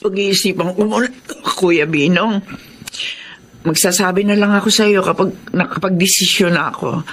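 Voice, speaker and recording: An actress playing a middle-aged, worried, self-sacrificing mother in a radio drama, plaintive and gentle. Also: mid-range voice, steady tone, normal speed, natural voice. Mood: gentle, reassuring. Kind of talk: Tagalog radio drama acting.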